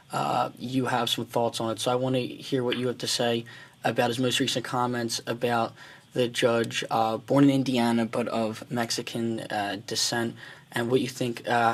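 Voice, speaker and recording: Flat-toned male radio host. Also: mid-range voice. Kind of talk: radio interview introduction and questions.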